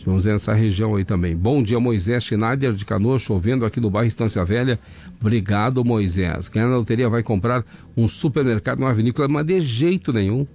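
A man with a southern Brazilian accent hosting an overnight radio show, hoarse from laryngitis, chatting folksily as he reads out listener messages. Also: fairly low pitch, fairly quick, a little mellow. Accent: southern Brazilian, Rio Grande do Sul. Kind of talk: casual radio chat reading listener messages. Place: radio studio.

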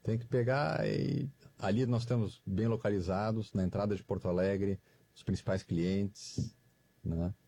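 A man from Brazil, a company guest, answering in halting speech full of fillers. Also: fairly low pitch, vocal fry, mellow, husky, dry recording. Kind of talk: interview answers.

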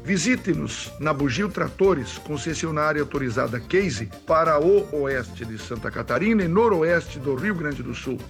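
A man with a southern Brazilian accent presenting a radio music show in a formal, courteous, measured announcer style. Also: on the high side, expressive. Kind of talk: formal scripted radio presenting with sponsor reads. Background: music under the voice.

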